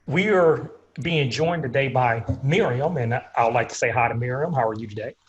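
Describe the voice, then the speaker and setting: A man's voice: mid-range voice, moderate pace, muffled recording. Upbeat man hosting a webinar. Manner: upbeat, laughs easily. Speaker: webinar host.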